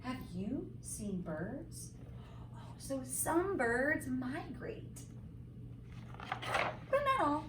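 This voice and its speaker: A woman reads a children's book aloud in an expressive, sing-song teacher's delivery. Her pace is unhurried and her pitch swings widely from phrase to phrase. The recording is roomy, picked up at some distance, with faint noise behind her. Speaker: teacher reading a storybook aloud. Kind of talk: expressive children's read-aloud with simple asides.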